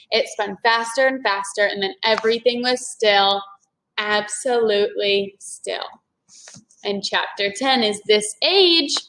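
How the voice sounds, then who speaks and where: A woman's voice: medium pitch, a bit bright, a little hiss or hum, low-quality recording. A woman reading a children's story aloud expressively, as if to a young class.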